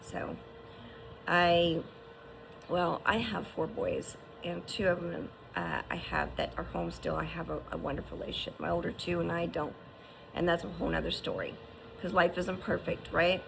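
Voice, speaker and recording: A woman's voice: lowish voice, lively intonation, moderate pace, vocal fry, noisy background. A woman in her fifties giving an earnest, encouraging personal testimony, often restarting and asking "right?". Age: 50s. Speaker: survivor sharing her story.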